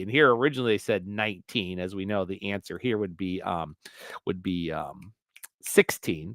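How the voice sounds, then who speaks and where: A male teacher with a naturally loud voice, walking through a screen-recorded software tutorial in a rambling way full of fillers and restarts. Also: lowish voice, dry recording.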